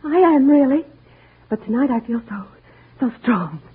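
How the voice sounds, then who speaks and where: An actress playing a mousy, downtrodden wife who calmly plans to murder her husband, in old-time radio drama style, speaking quietly and chillingly and breaking into sobs. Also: high voice, normal speed, a little hiss or hum. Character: timid downtrodden wife turned cold poisoner. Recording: muffled lo-fi old radio recording.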